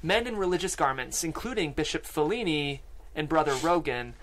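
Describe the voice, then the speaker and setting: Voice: mid-range voice, animated, normal speed, fairly bright tone, poor audio. A narrator reading out screenplay stage directions at a table read in a podcast studio. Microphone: studio microphone and headphones.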